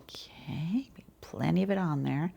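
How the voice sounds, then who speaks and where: A woman talking quietly in an ASMR shop roleplay, gentle and chatty, with a soft, breathy voice that often drops toward a whisper. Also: low-pitched, measured pace, slight background noise. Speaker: ASMR roleplayer as boutique shopkeeper and channel host.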